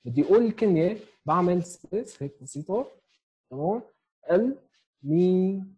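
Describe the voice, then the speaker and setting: A man's voice: high voice, lively intonation, fairly quick, dark tone, breathy edge, highs cut off. A man in his twenties with a Syrian Arabic accent gives an online sign language lesson, explaining step by step and often checking in with "okay?". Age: 20s. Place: small room at home, indoors. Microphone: earphone headset mic on a video call.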